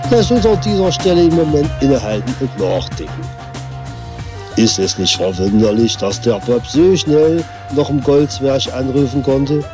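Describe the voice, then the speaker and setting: A man's voice: mid-range voice, moderate pace, music in the background. A man giving scripted, tongue-in-cheek storytelling narration for an audio drama.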